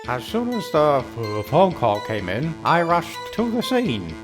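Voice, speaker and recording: An actor playing a bumbling, nervous police detective with a heavy stammer and a deferential manner, in a video game dub. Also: male voice, a little high, huge pitch swings, a little slow, music in the background. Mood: flustered, stammering.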